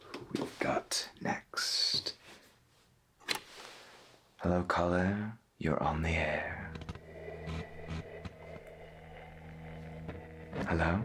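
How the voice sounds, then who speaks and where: A man playing a veteran late-night paranormal radio host in a soft-spoken 1990s AM radio roleplay, with a breathy, gravelly voice and a calm, musing, florid way of talking full of pauses. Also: low voice, noisy background. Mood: puzzled, curious.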